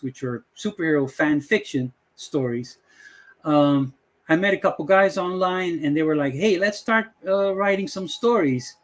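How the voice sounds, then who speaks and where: A middle-aged man from the Dutch West Indies with a Dutch accent, hosting a casual tutorial and rambling with many fillers. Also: a little high. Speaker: channel host. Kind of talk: casual screen-share tutorial intro.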